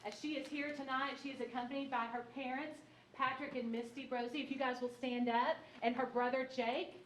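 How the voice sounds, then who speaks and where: A woman's voice: high-pitched, little pitch movement, a little fast, fairly bright tone. A woman presenting a student award at a board meeting, effusive, upbeat and gracious. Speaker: school staff presenter. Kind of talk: formal student recognition speech. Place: public meeting room. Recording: echoey room with background noise.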